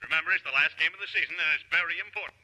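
A pushy, self-satisfied boss type in an old radio comedy. He talks very fast in a piercingly sharp, forceful voice, insistent and brisk. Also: a little high, husky, full character voice, poor audio. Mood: jovial, pressing. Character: pushy, self-satisfied man pressuring the football coach. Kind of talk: 1950s radio comedy acting.

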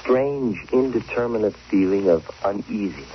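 An actor playing a haunted American man confiding his eerie story in a 1940s radio drama, brooding and halting. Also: fairly low pitch, animated, close to an everyday voice. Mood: brooding, uneasy. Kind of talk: 1940s radio acting, first-person narration. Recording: dull old radio recording, faint hiss.

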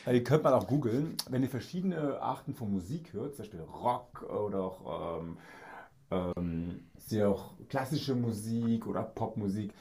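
An upbeat man chats as a podcast guest, laughing often and keeping coming back to the same eager phrases. His voice sits fairly low and sounds warm and dark. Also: lively intonation, unhurried. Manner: enthusiastic, laughs often, repeats "auf jeden Fall". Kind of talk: casual chat.

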